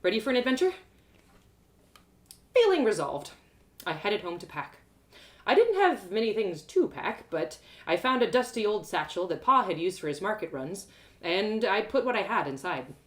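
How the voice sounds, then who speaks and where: A woman reading a fantasy story aloud in an expressive, first-person narrating style. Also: mid-range voice, normal speed.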